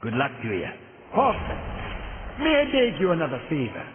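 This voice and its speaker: Casting: an animated old craftsman, echoing hall, tinny noisy audio. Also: a little high, brisk. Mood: fond. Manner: fond, reminiscing and lively. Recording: tinny audio with long hall reverb and noise.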